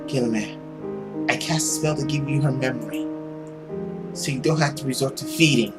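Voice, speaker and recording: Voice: medium pitch, moderate pace, loud music behind the voice, natural voice. Someone voicing an earnest, resolute servant woman. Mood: pleading. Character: resolute, sorrowful woman servant pleading with her master. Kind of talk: dramatic visual novel character reading.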